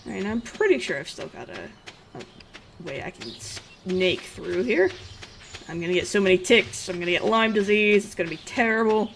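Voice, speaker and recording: A woman's voice: medium pitch, sing-song, normal speed, creaky, background noise. A woman doing casual let's play commentary with a blunt, sarcastic manner. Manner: sarcastic, blunt, salty-mouthed. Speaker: gaming video host.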